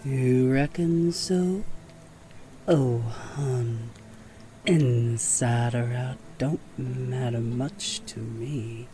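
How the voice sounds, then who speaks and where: A woman's voice: a bass voice, steady tone, very slow, dark tone, a little hiss or hum, exaggerated voice. A woman playing a sly, venomous bounty hunter in a Western audio drama, with a sugary, mocking Southern American drawl. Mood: silky menace. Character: sly, venomous female rival bounty hunter in Old West. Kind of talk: Western audio roleplay acting.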